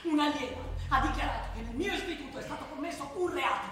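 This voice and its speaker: Stage drama: embattled woman principal, insistent and formal, piercing high voice, distant reverberant hall recording. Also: lively intonation, quick pace, a bit bright. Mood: grave, defensive. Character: embattled female high school principal, adamant and indignant. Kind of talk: theatrical stage drama acting. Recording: echoey hall, distant mic, faint noise.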